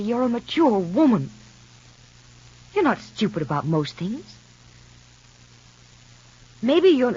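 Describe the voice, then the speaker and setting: An actress in an old radio soap opera playing a frank, insistent, unhappily married woman, speaking earnestly and deliberately. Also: mid-range voice, a little mellow, slightly rough. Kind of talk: 1940s-50s radio soap opera acting. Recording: muffled old radio recording.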